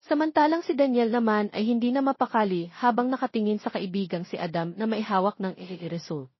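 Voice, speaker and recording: Female Filipino narrator for romance audio fiction; husky voice, dry, slightly dull lo-fi recording. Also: mid-range voice, fast talker, no echo.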